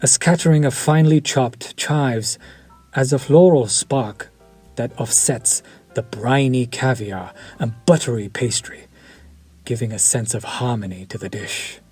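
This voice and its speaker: Vampire chef, male, courtly and menacing, voiced by a man; breathy mid-pitched delivery, unhurried. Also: faint music behind. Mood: savoring. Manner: slow, formal and soft-spoken, dropping to near-whispers. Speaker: voice actor. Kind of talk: audio roleplay to the listener.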